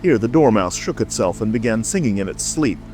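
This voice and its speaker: Male audiobook narrator: low pitch, scripted storytelling, music bed. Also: expressive, a little fast, darkish tone.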